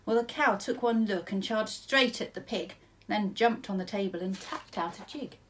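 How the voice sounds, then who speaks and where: A woman's voice: medium pitch, normal speed, a little hiss or hum. A woman, reading a children's story aloud expressively, like a primary school teacher. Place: small furnished room at home.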